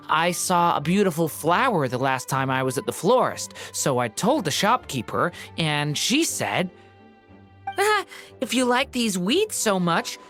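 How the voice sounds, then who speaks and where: A woman voicing a naive, gentle youngster born from a storybook, earnest and hesitant, in an anime-style game. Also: fairly low pitch.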